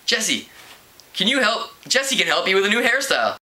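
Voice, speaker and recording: Picture a man reading as a chirpy woman teacher from a teen storybook. The voice is high and bright, swooping with eager encouragement, yet stays patient and calm. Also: brisk, full character voice. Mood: chirpy, encouraging. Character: upbeat, chirpy woman home economics teacher.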